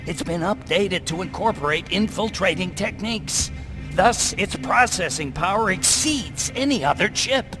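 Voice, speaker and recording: Game's brainy resistance techie: earnest, excitable explainer, slightly high voice. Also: male voice, unhurried, over music. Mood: proud, eager. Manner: explanatory, excitable, technical phrasing. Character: brainy, earnest male resistance hacker and tech expert. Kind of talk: video game voice acting.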